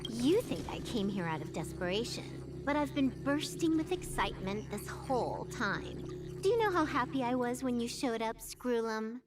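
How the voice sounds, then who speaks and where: Woman playing a cocky, animated young female hacker. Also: fairly high pitch, normal speed, over music, lightly acted. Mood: excited, smug. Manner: teasing, smug. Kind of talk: scripted video game voice acting.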